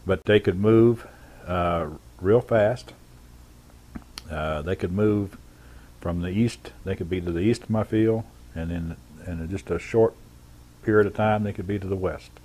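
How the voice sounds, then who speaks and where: A man with a low-pitched voice gives a first-person account from memory. He speaks in a plain, matter-of-fact manner, feeling his way through the details haltingly. It sounds like an unscripted witness interview. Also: dark tone. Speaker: former air traffic controller giving an eyewitness account.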